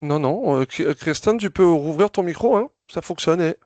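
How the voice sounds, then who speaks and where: A man's voice: on the high side, lively intonation, moderate pace, a little mellow, dry recording, dull audio. A man hosting a video call for his association, briefing members in a casual, hesitant way with many fillers and restarts. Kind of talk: casual spoken briefing, moderating questions.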